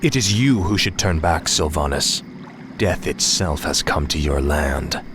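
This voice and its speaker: A man's voice: a bass voice, animated, measured pace, background noise, exaggerated voice. An actor playing a cold, arrogant male death knight, a fallen prince, in a video game cutscene.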